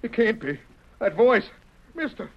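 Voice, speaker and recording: An actor playing a folksy, wrongly jailed cowboy in a radio western, plaintive and drawling. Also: male voice, high voice, sing-song, a little slow, heavily acted. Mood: urgent, hopeful. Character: despairing, wrongly jailed old-timer cowboy, folksy and pleading. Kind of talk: 1940s radio western acting. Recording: muffled, noisy old radio recording.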